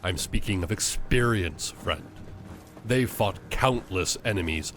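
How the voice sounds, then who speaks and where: A man playing a proud, zealous witch hunter in a fantasy audio drama, measured, formal and persuasive. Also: deep voice, expressive, a bit bright, a little hiss or hum. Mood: earnest, insistent.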